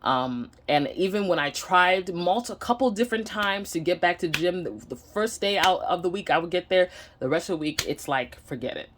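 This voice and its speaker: A woman's voice: fairly low pitch, expressive, normal speed, background noise. A woman with a Nigerian accent giving a casual, candid personal update to camera at home, earnest and a bit hesitant. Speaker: vlogger. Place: home room.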